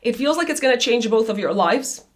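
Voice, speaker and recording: A woman reading a monthly horoscope in a chatty, loose way, her voice raspy after an illness. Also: on the high side, lively intonation, a little fast. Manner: chatty, loose, with many fillers and restarts. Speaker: astrologer.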